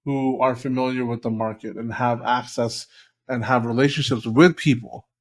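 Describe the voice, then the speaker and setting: A man's voice: medium pitch, steady tone, moderate pace. A man answering interview questions in a loose, casual way, with frequent ums and you-knows. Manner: loose and conversational, with many fillers like um and you know. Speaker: guest, short-term rental company founder.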